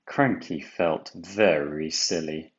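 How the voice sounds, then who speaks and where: A deep-voiced man narrates a children's storybook at a slow, deliberate pace. He leans on key words for drama. The recording sounds a little dull. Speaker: storybook reader. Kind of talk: expressive children's read-aloud narration.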